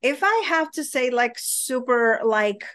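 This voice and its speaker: Czech woman, high pitched, chatty and rambling, lots of "like", dry sound. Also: lively intonation, slow pace, fairly bright tone, dry recording. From Czech Republic. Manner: talkative, many "like" and "you know" fillers. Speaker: guest coach. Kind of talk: casual interview chat.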